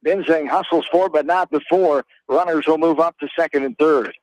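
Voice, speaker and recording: An American man calling a baseball game live on the radio as play-by-play announcer, in terse, clipped phrases with pauses. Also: a little high, no echo, rough recording.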